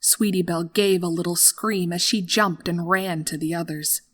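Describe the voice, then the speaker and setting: A woman narrating a scripted horror story in a dramatic, deliberate storytelling manner. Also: fairly low pitch, steady tone. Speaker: fan fiction narrator.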